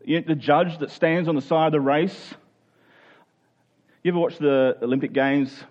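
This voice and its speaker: Guy preaching a sermon, voice a bit on the high side, earnest n chatty, asks lots of questions, kinda lo-fi sound. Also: steady tone, moderate pace, a little mellow. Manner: earnest, rhetorical questions, acts out imagined objectors. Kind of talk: sermon, conversational Bible teaching. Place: speaking live to a congregation.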